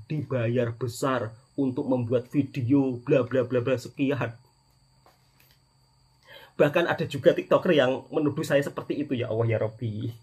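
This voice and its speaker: Husky middle-aged Indonesian man vlogging. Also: medium pitch, a little mellow. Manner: repetitive emphasis, often addressing his audience as friends. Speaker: vlogger, channel host, migrant worker. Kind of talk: casual personal vlog monologue addressed to viewers.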